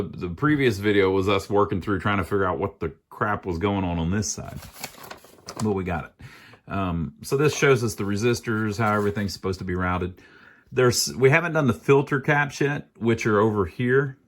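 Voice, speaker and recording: A man's voice: fairly low pitch, expressive, moderate pace. An American man giving a casual hands-on repair walkthrough, thinking aloud in a folksy, plain-spoken way. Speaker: amateur radio repairer, video host.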